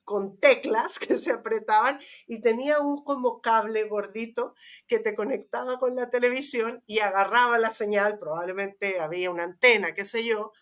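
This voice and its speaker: A woman's voice: fairly high pitch, moderate pace. A woman co-hosting a casual podcast chat, recalling memories and acting out little sounds and bits of dialogue as she tells them. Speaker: podcast co-host.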